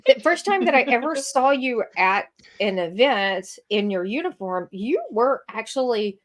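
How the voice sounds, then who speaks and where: A woman's voice: fairly high pitch, animated, measured pace. A woman co-hosting a casual chat, asking a guest questions in a rambling way. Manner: rambling, lots of fillers and restarts. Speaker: podcast co-host.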